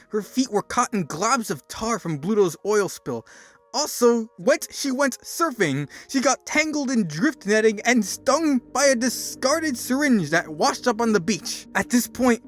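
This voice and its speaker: A man giving a theatrical, emphatic dramatic reading of a written story. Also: high-pitched. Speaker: narrator doing a dramatic reading. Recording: music behind the voice. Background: music.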